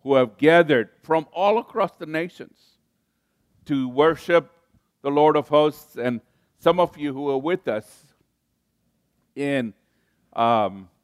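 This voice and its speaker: A man's voice: fairly high pitch, slow pace, pauses often, no echo. An older man born in Kenya preaching a sermon to a congregation, often restarting phrases and calling on listeners to respond. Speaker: pastor.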